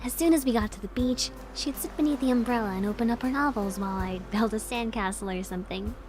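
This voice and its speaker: Woman playing high-voiced, teasing young woman, Valerie. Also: quick pace, background noise, natural voice. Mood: casual, wistful. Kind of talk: visual novel voice acting.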